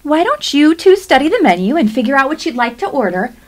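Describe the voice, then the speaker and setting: A chirpy, managing wife in a radio play, acted by a woman. Her voice is very high and highly animated, and she bosses people about breezily. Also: fairly quick. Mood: bossy. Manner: animated, directing. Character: bossy, chirpy wife who likes to orchestrate everyone. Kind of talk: radio theatre acting.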